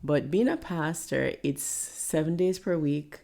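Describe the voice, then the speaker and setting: Gravelly-voiced Jamaican woman pastor. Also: low-pitched, warm tone. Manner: measured, with frequent "um" pauses. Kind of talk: podcast interview guest.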